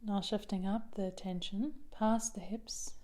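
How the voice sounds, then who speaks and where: A woman leading a guided body scan meditation as a mindfulness teacher, soothing and unhurried, with long pauses and soft phrase endings. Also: medium pitch, fairly even intonation.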